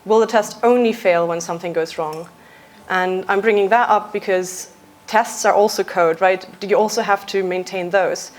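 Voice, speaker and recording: A young adult woman from Austria, a software engineer giving a conference talk in a casual, lightly joking way with frequent uhs. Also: mid-range voice, steady tone, moderate pace. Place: conference stage.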